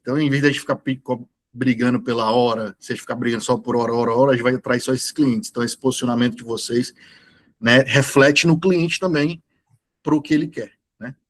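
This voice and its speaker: A man's voice: medium pitch, fairly even intonation, a little fast. A man hosting a casual online meeting, chatting and thinking aloud with fillers. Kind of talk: casual chat on a video call.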